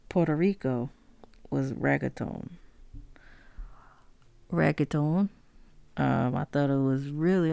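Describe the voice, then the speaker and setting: A woman hosting a solo music history podcast, talking haltingly with many pauses, fillers and self-corrections. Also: low voice, creaky, a little mellow, dry recording. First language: not Spanish. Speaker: podcast host.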